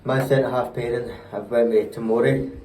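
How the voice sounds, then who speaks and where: A man's voice: mid-range voice, steady tone, moderate pace, mellow, recorded from a distance, a little hiss or hum. A man chatting about football in short, blunt remarks, swearing now and then. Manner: terse, swearing. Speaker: co-host. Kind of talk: casual football chat. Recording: roomy echo, off-mic, faint ambience.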